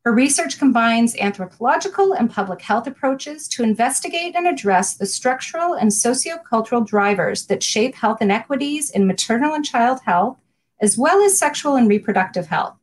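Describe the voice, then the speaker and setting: A woman's voice: a little high, lively intonation, normal speed. A woman hosting an interview podcast, introducing her guest formally and then chatting with her in a friendly way. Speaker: podcast host.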